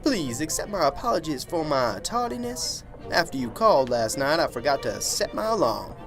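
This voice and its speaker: A man's voice: fairly high pitch, sing-song, normal speed, music in the background. A man playing a genial, pious small-town preacher in a radio drama, with a folksy, florid, sermonizing way of talking. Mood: cheerful.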